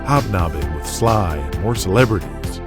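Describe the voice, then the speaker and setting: A man's voice: low voice, expressive, normal speed. A man reading scripted, dramatic present-tense narration as the storyteller of an audio drama. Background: music.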